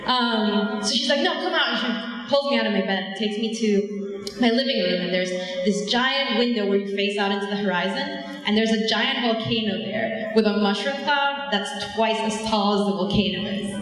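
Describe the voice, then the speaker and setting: A woman's voice: medium pitch, normal speed, fairly bright tone, faint music behind. A young woman from Ecuador, hosting on stage with a handheld mic and telling a story to a live crowd in a bubbly way. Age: young adult. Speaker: host. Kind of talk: stage talk to a live audience. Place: large dark stage in a hall. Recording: echoey hall, long reverb.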